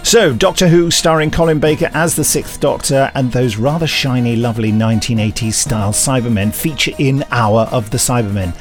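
A man's voice: medium pitch, fairly quick, hardly any pauses, soft background music. A man co-hosting an audio drama podcast, reading links with wry, self-mocking humour. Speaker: podcast co-host. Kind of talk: casual podcast chat.

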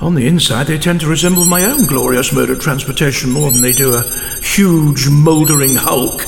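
A man playing an eccentric, cautious time traveller in a science fiction audio drama, with a gravelly voice and a wordy, theatrical, pompous manner. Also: a little high, normal speed, music in the background. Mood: curious, musing. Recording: echoey cavernous hall effect, some noise.

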